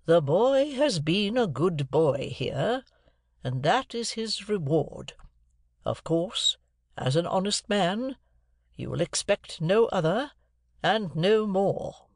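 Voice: medium pitch, very animated, slow pace, no echo, clean studio sound, pitched above the performer's own voice. A storyteller voicing an imperious, eccentric older lady of wealth, with commanding, clipped and emphatic speech. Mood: firm, emphatic.

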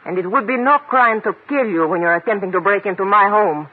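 A woman's voice: mid-range voice, a little fast, slightly rough, natural voice. A woman playing a guarded, commanding woman of intrigue in a 1950s radio drama, speaking formally and precisely. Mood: commanding, hostile. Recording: tinny old radio with light noise.

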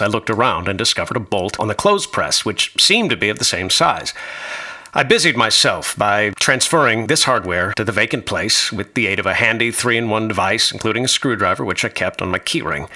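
An actor playing an anxious, bookish traveler, narrating his ordeal in a radio drama with formal, uneasy wording and a husky voice. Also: fairly low pitch, pushed and bright, exaggerated voice. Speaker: narrator and lead character. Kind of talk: scripted first-person radio drama narration.

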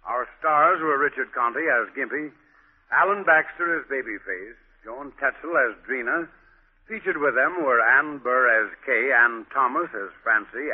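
A man's voice: medium pitch, breathy edge. A man with an American accent making formal, scripted announcements as a 1940s radio announcer. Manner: formal, declamatory. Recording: tinny, band-limited 1940s radio recording.

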